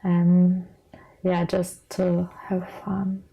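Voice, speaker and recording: A woman's voice: on the low side, completely flat delivery, very slow, slightly rough, lo-fi sound. A woman in her twenties from Turkey, an exchange student, answering interview questions plainly. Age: 20s.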